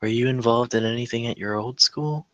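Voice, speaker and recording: A woman's voice: a bass voice, brisk, no echo. A woman reading the part of a caring, direct aunt in her forties at a scripted table read. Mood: caring, probing. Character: caring, direct forty-four-year-old aunt, an EMT.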